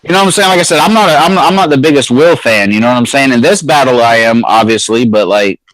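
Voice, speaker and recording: A man in casual podcast chat, slangy and hesitant, often restarting his sentences. His voice sits at a middle pitch. It sounds dry and close, with little room around it. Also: fast talker, fairly bright tone, dry recording, rough recording. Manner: slangy, hesitant, repeats himself while arguing. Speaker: podcast co-host.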